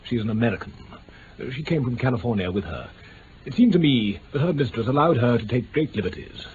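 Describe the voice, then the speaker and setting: Male actor as haughty English lord, upper-class accent, very varied pitch, stiff, easily affronted, hesitant, vintage radio drama, muffled. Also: sing-song, fast talker, darkish tone, lightly acted. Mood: defensive, disdainful. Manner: pompous, stiff, hesitant over delicate words. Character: pompous, easily affronted aristocratic English nobleman. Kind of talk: 1950s-60s BBC radio drama acting. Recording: muffled, hissy old radio recording.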